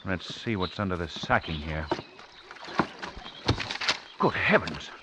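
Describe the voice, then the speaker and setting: An actor playing an inquisitive, well-spoken gentleman sleuth with an assured manner in a 1940s radio drama. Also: sits low, animated, slow pace. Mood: curious. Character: composed, inquisitive gentleman sleuth, bold adult man. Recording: noisy old radio recording.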